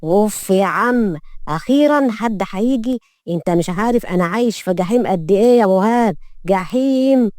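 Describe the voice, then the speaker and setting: A woman's voice: medium pitch, expressive, fairly quick, a little mellow, no echo, studio quality, lightly acted. A woman with an Egyptian accent voicing a weary, impatient male businessman who complains, in an audio story. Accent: Egyptian Arabic. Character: harried, frustrated wealthy businessman, impatient adult grandson.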